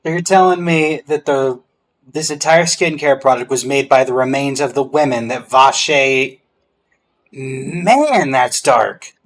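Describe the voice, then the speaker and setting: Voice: medium pitch, slow. A streamer giving casual reaction commentary over a game.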